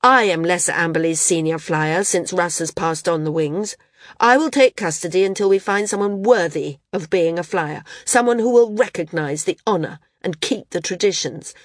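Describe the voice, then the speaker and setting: A woman's voice: on the low side, moderate pace, no room sound, studio quality, lightly acted. A woman voicing a stern, rigid older man who insists on tradition. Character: stern rigid older male senior flyer.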